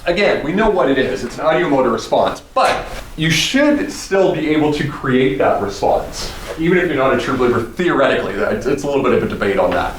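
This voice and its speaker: A man's voice: mid-range voice, brisk. Man instructing students in an echoey hall. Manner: relaxed, explanatory, dryly joking. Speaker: psychology instructor. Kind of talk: giving instructions to a class. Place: old university hallway, indoors. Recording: echoey hallway with noise.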